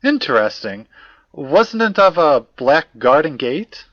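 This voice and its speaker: Guy acting a stuffy polite professor type for an audio drama, very animated, kinda dull recording. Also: a little high, slow pace, no room sound, heavily acted. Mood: formal, measured. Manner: precise, wordy, old-fashioned politeness. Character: formal, fussy, courteous male academic investigator.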